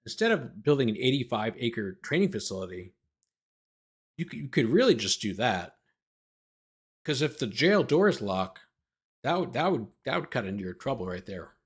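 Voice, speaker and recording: A man's voice: mid-range voice, sing-song, moderate pace, long pauses, breathy edge. A man hosting a legal commentary show, reading a document aloud and breaking off for sardonic off-the-cuff asides. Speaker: host, lawyer commentator.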